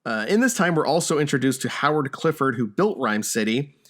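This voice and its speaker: Man chatting haltingly about a movie. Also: medium pitch, animated, a bit bright. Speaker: podcast host. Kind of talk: casual movie discussion.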